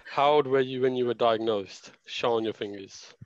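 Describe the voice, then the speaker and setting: A young man of about twenty-one with a hesitant, casual delivery and medium pitch. His video-call audio is dry and a little dull. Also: normal speed, dry recording, faint music behind. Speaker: panel participant, data analyst. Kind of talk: casual chat.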